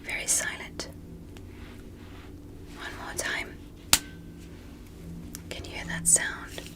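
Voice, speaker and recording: A woman whispering close to the microphone in a gentle, careful ASMR roleplay as a polite, curious alien medical examiner. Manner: gentle, slow, careful step-by-step explaining.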